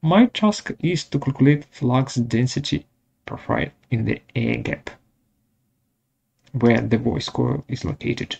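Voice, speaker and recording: A man presenting a step-by-step software tutorial in short, measured, halting phrases. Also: medium pitch, vocal fry, husky. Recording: dull lo-fi audio.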